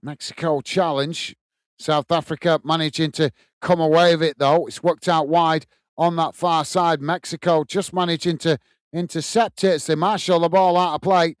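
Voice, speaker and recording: A man's voice: on the high side, steady tone, normal speed, smooth, no echo, studio quality. An English man hosting a live football watchalong, calling the play steadily and reading out chat comments. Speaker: watchalong host.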